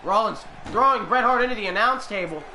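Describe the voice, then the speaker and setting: Excitable young man calling wrestling, hoarse. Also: high-pitched, a little fast, a little hiss or hum. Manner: excitable, bursts into shouts on big moves. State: losing his voice, coughing. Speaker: host commentating a simulated game. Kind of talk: play-by-play game commentary.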